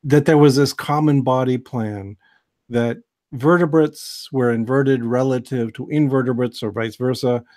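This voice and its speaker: An American man giving a relaxed, digressive science lecture on a video call, with many fillers and restarts. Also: medium pitch, unhurried. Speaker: host, biology lecturer.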